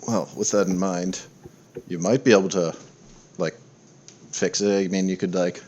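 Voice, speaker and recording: Man, low voice, casual game master narration, informal, sweary. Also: flat delivery, normal speed, a little mellow, a little hiss or hum.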